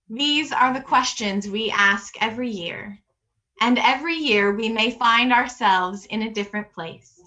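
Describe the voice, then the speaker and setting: A woman's voice: a little high, pushed and bright, low-quality recording. A woman leading worship with a scripted, solemn and reflective liturgical address over a video call. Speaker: worship leader.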